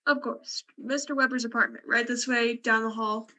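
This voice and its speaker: An actress playing a polite, fussy apartment manager in a theatrical 1950s radio drama style. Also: female voice, a little high, steady tone, normal speed, pushed and bright. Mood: prim, obliging.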